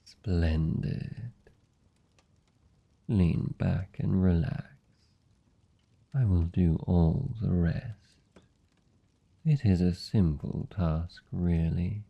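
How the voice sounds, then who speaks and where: A man's voice: sits low, vocal fry, slightly rough, dull audio. A British man playing a suave, seductive vampire lord in a fantasy ASMR roleplay, speaking formally and teasingly with commanding, predatory politeness. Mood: teasing, coaxing. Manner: teasing, formal, silky and deliberate. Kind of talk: fantasy ASMR roleplay, slow intimate monologue.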